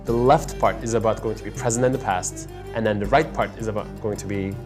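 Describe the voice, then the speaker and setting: A woman's voice: very deep voice, fairly even intonation, fast talker, over music. Young woman explaining patiently. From Azerbaijan, raised in Turkey. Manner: instructive, patient, laughs lightly. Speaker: interviewee demonstrating coffee fortune telling. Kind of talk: explanatory conversation.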